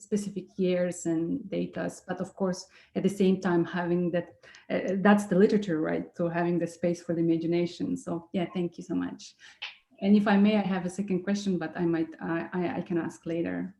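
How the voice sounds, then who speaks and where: Soft-toned, polite, hesitant woman. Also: fairly low pitch. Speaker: workshop participant asking questions. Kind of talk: polite questions on a video call. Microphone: video call.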